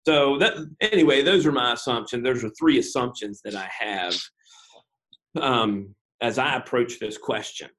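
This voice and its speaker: Male pastor, interview guest, mid-range voice, methodical and unhurried, pauses with ums, thinks out loud. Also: medium pitch, a little hiss or hum, low-quality recording. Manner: measured, methodical, frequent "uh" and "um" pauses; self-deprecating humor. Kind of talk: unscripted interview explanation.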